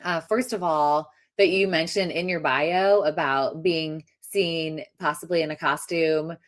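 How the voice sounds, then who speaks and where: A woman's voice: deep voice, steady tone, unhurried. A woman chatting casually and enthusiastically as a participant in a group conversation. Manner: enthusiastic, effusive. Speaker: audience participant. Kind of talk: casual chat.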